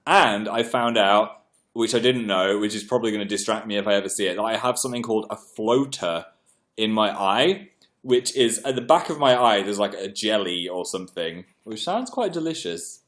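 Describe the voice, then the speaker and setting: A man's voice: on the low side, normal speed, a bit bright. Man, young adult, congested, chatty, self-deprecating, casual livestream host. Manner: chatty, self-deprecating, reads comments aloud. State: congested, clearing throat. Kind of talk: casual livestream chat reading viewer comments.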